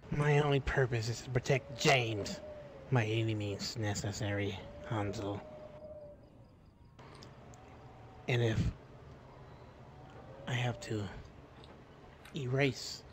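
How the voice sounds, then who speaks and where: A man's voice: medium pitch, natural voice. Man playing a menacing, wounded alter ego, noisy audio. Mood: cold, menacing. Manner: intense, bitter, deliberate, with dramatic pauses. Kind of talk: improvised dramatic roleplay in a zombie survival game. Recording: clearly noisy background.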